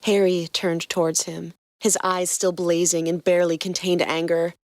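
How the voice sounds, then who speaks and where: A woman narrating a fan fiction story in a steady reading voice. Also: lowish voice, normal speed, no room sound, studio quality. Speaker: podcast co-host narrating fanfiction.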